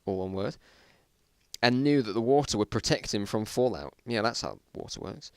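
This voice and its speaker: A man's voice: on the low side, normal speed, darkish tone, clean tone, dry recording. Self-mocking man reading a story, dry recording. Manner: self-mocking, exasperated at the writing. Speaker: reader of own story. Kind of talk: dramatic reading with joking asides.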